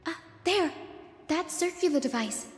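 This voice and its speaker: An actress voicing a gentle, polite young woman who guides and reassures the party in a game. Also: very high-pitched, lively intonation, unhurried. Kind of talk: game voice acting. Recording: roomy reverb with game music.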